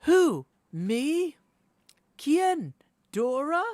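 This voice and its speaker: A proud, menacing spirit woman from a video game, played by a man, pitched well up high. The delivery is boastful and theatrical, with big swings in pitch. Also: big pitch swings, extremely slow, darkish tone, no echo, studio quality, exaggerated voice. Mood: gleeful menace.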